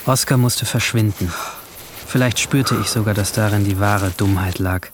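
A man acts an alienated teenage boy confessing in a radio drama. His voice is fairly low, hushed and inward, sometimes slipping toward a whisper. Clear background noise with night insects surrounds it. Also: normal speed, natural voice. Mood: tense, detached. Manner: hushed, introspective, at times whispering. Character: brooding, alienated seventeen-year-old boy narrating his guilt. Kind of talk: first-person radio drama narration.

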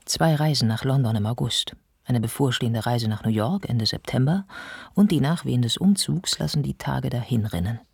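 A man's voice: medium pitch, expressive, normal speed, creaky, husky, no room sound, studio quality. A man acting as a cool, observant narrator in a scripted radio drama, setting out details in clipped phrases. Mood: matter-of-fact.

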